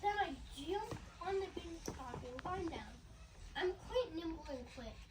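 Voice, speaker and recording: A very high-pitched child acting as a defensive boy on trial in a school play. The lines come slowly, and the recording is distant and noisy. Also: animated. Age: child, third grader. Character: Jack, quick-footed boy on trial, defensive then contrite. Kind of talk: school reader's theatre performance.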